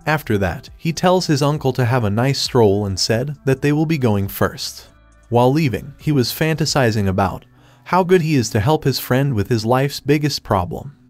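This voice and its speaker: A man's voice: mid-range voice, moderate pace, dry recording. Man narrating a comic recap over faint music. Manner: steady third-person retelling. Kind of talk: scripted story recap narration. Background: faint background music.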